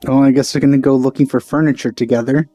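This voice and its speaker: A man's voice: mid-range voice, steady tone, fast talker, dark tone, no echo, faint music behind. A man giving casual let's play commentary as the host of a gaming video.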